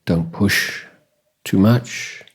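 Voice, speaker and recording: Slow, husky, deep-voiced male meditation teacher. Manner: calm, measured, gentle instruction with long pauses. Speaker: Buddhist monk, meditation teacher. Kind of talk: guided meditation dharma talk.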